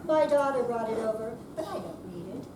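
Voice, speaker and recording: A woman's voice: on the high side, animated, a little fast, natural voice. A woman reading the part of a stubborn, independent older cat owner who gets defensive, in readers theater. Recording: echoey room, far mic, clear noise.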